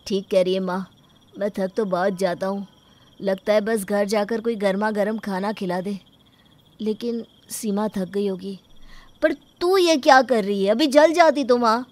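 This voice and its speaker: A woman's voice: mid-range voice, unhurried, a little hiss or hum, close to an everyday voice. A woman voicing a young husband in a moral story, a whiny, two-faced mama's boy who sounds showily dutiful. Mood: weary, concerned. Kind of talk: acted Hindi moral-story dialogue.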